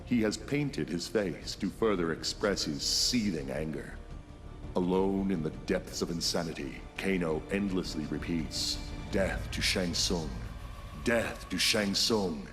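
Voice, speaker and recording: A man's voice: low-pitched, unhurried, over music. An actor voicing a grave, solemn male narrator telling dramatic superhero tales in a fighting video game. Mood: ominous, solemn. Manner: ominous, solemn.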